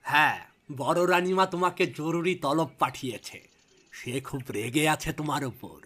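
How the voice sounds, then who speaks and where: A man playing a gruff, impatient palace guard in a fairy-tale cartoon, brusque and bossy, with a husky voice. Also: fairly high pitch, a little slow, fairly bright tone. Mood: impatient, demanding.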